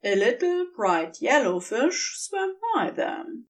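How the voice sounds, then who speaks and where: A woman narrates a story in a fairly high voice. Her reading is unhurried, expressive and dramatic, with a very lively pitch. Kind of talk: scripted fiction narration.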